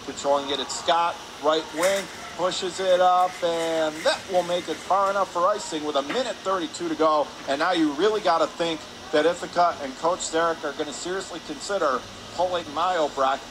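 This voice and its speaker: Male sports announcer, higher voice, clipped play-by-play phrasing, live radio hockey call, clear background noise. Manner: clipped rapid phrases, rising on shots. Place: ice rink arena.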